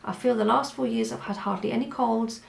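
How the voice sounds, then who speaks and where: A woman who is hoarse from a cold, vlogging in a chatty, rambling way with many asides. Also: fairly high pitch, fairly quick. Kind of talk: casual chat. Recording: roomy echo with faint music.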